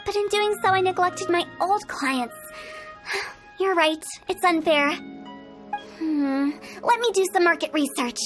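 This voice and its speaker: A woman voicing a mischievous young female funeral parlor director in a game, playful and teasing, with spooky jokes and a salesy pitch. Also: measured pace. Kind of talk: video game voice acting. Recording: game audio with faint music. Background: faint game music.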